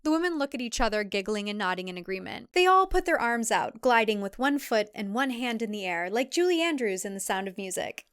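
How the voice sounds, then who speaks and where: A woman's voice: fairly high pitch, very animated, brisk, hardly any pauses, a bit bright, clean tone, dry recording, studio quality. A woman giving a dramatic scripted narration of a suspense thriller.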